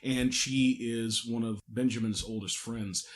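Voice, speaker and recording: A man's voice: medium pitch, fairly even intonation, measured pace. A man hosting a casual behind-the-scenes podcast, joking and self-deprecating, with hesitant false starts. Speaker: podcast host and audio drama creator.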